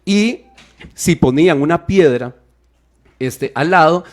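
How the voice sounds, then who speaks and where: A man with a Costa Rican Spanish accent, explaining Bible passages on a radio program with many restarts and quick asides. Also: a little high. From Costa Rica. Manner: lively, digressive, with many restarts and fillers. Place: radio studio.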